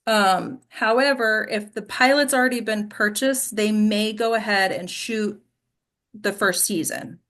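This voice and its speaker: A woman's voice: mid-range voice, fairly even intonation, a little slow, fairly bright tone. A woman acting coach teaching in a casual question-and-answer style, direct and instructive, often checking understanding with short tag questions.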